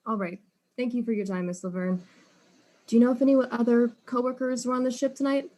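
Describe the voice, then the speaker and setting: A woman's voice: a little high, fairly even intonation, a little fast, dark tone, natural voice. A woman playing a polite, probing detective who questions suspects in a mystery drama. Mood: polite, inquisitive. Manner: courteous, inquisitive. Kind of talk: acted mystery audio drama.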